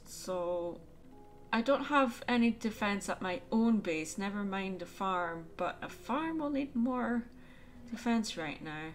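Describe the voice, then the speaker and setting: A woman's voice: medium pitch, a little hiss or hum. A young woman chatting casually and thinking aloud while playing a game. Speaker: let's play host. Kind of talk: casual gameplay commentary.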